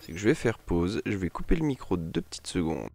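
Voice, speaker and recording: Guy with a cold doing a let's play, real deep voice, rambly, game music behind. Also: very animated, brisk, gravelly, a little mellow. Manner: rambling, repeats phrases. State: has a head cold. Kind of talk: casual gameplay commentary.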